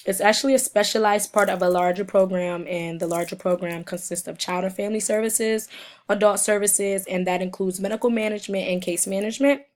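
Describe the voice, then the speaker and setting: A young woman speaking in an ordinary middle register, her voice hoarse and a little sniffly from a cold. She reads a class presentation from prepared notes and stumbles now and then. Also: medium pitch, fairly even intonation, fairly quick. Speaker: student presenter.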